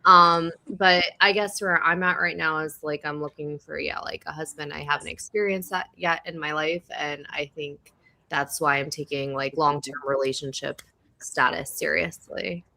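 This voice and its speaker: A woman in her thirties talks earnestly on a panel, leaning on "like" as she thinks aloud. Her voice is low for a woman yet bright and forward. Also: steady tone, normal speed, creaky. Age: 30s. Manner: earnest, filler-heavy with "like". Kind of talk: casual panel chat.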